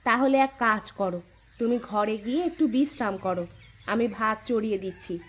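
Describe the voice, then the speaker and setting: A woman voicing a farmer's wife in a cartoon, homely and expressive. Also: fairly high pitch, a little fast, slight background noise, natural voice. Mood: caring. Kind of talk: cartoon voice acting.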